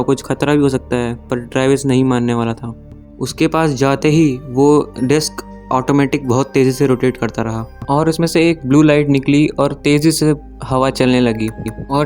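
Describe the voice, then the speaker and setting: A man telling stories in an informal narration, with halting phrasing as he corrects himself. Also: medium pitch, steady tone, a little mellow. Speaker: YouTube narrator. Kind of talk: informal storytelling narration of alien abduction cases. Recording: music behind the voice. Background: background music.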